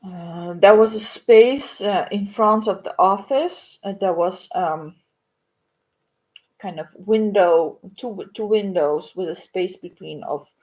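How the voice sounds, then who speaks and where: A woman in her forties from Ukraine, speaking English, telling a halting, reflective livestream story about her art. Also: medium pitch, a little mellow, rough recording. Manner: halting and reflective, many pauses and fillers. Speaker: artist. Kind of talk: livestream monologue.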